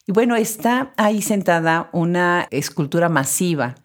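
A woman's voice: lowish voice, lively intonation, moderate pace. A woman hosting a conversational interview, responding to her guest in an appreciative, encouraging way. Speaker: interviewer, host.

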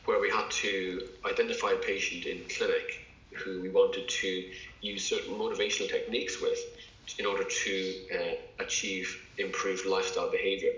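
A man's voice: deep voice, flat delivery, normal speed, pushed and bright. A male doctor from England, a podcast guest, talking in a hesitant way with many fillers and restarts. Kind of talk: casual interview talk. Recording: echoey, dull lo-fi sound.

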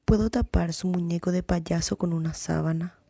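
A woman's voice: fairly low pitch, fairly even intonation, moderate pace, vocal fry, warm tone, breathy edge, no echo, studio quality. A woman playing a polite, hesitant nineteen-year-old babysitter in a radio drama. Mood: uneasy.

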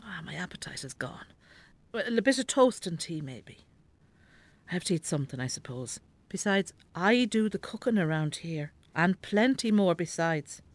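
A woman's voice: lowish voice, animated, moderate pace, no room sound. Stubborn, ailing elderly Irish mother. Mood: weary, complaining. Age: older. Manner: grumbling, blunt. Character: sick, stubborn elderly Irish farm mother, weary, sharp-tongued. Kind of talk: naturalistic radio drama acting.

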